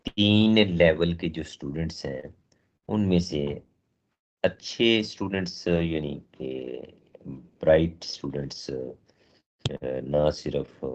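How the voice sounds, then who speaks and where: A man giving a training lecture with a sore throat and a cough, explaining in a measured way with many pauses and English phrases mixed in. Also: a bass voice, a little mellow, dull audio. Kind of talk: slow recorded lecture.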